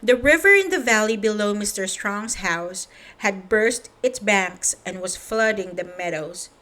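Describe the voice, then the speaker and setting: A woman's voice: mid-range voice, animated, unhurried, fairly bright tone. A woman reading a children's story aloud, narrating and speaking directly to young listeners.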